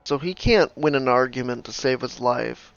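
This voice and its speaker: Casual male gameplay commentator, dry and offhand, with vocal fry, faint music behind. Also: mid-range voice, normal speed. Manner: offhand, dryly judging. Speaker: gamer reacting to game dialogue. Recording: slightly dull mic, faint game music.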